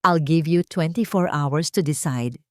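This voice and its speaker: A woman's voice: sits low, unhurried, no echo, clean studio sound. A woman voicing a young billionaire CEO in a romance audio story, blunt, businesslike and controlled. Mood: curt, confident. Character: commanding, cool young male billionaire CEO.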